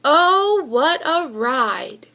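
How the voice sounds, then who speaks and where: A woman reading a children's picture book aloud in an animated, deliberate storytelling manner with emphatic delivery. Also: sits high. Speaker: school reader of children's books.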